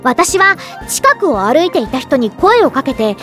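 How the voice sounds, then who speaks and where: A woman's voice: sits high, very animated, a little slow, sharp tone, soft background music, full character voice. An actress playing a flamboyant, self-admiring ageless elf artist, narrating her own story in a theatrical, feminine anime drama style. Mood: dreamy, delighted.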